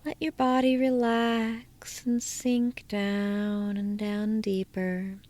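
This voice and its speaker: A woman speaking as a hypnotist, guiding listeners through a slow, soothing trance induction that drifts into soft whispers at times. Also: medium pitch, little pitch movement.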